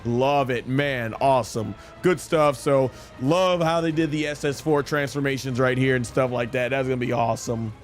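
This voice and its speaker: A man's voice: medium pitch, fairly even intonation, normal speed, hardly any pauses. A man chatting casually and enthusiastically as a live streamer, rambling through game commentary. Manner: enthusiastic, rambling fan chat. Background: music.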